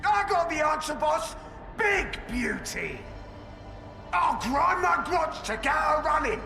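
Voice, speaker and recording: An eager ork tinkerer in a video game. The voice is extremely high and piercing, gravelly and shouted, ringing with reverb. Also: male voice, big pitch swings, slow pace, full character voice. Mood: eager, scheming. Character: eager, manic ork mechanic serving his boss. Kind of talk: video game character acting. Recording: echoey reverberant space, faint music.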